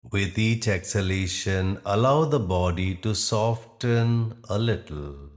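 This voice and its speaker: Male meditation guide: deep register, unhurried delivery, soothing and deliberate, with long pauses between phrases.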